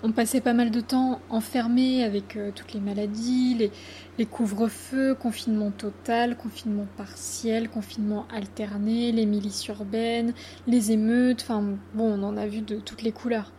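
A woman's voice: fairly high pitch, little pitch movement, moderate pace, mellow, slight background noise, close to an everyday voice. A woman playing a weary, blunt witness who hesitantly recalls a crisis in colloquial speech, for a radio fiction. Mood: weary, reflective. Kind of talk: mock-interview radio fiction.

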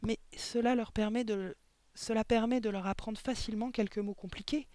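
Un homme qui lit un livre pour enfants en incarnant une institutrice douce et timide, qui parle sur un ton implorant. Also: male voice, sits high, fairly even intonation, moderate pace, dry recording, voice pushed up, close to an everyday voice. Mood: timid protest. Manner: soft-spoken, pleading. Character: gentle young schoolmistress, timid, pleading, protective. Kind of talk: storybook reading.

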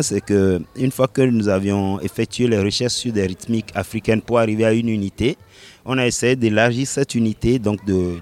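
Man from benin, lowish voice, slow with lots of pauses, laughs a bit as he talks. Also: darkish tone. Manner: unhurried, many hesitations, laughs often. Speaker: reggae musician. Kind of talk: interview answers.

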